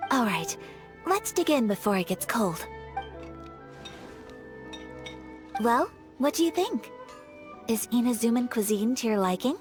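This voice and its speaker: A woman playing a refined, gentle young noblewoman in a video game, speaking formally and courteously in a measured way. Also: fairly high pitch, big pitch swings. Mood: pleased. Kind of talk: animated video game voice acting. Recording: game music behind the voice.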